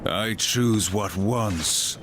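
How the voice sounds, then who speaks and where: A man's voice: on the low side, drawn-out words, noisy background. An actor playing a grim, stern horseman of the apocalypse, solemn and terse, in a video game. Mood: grim, resolute. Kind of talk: dramatic video game voice acting. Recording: music under the voice.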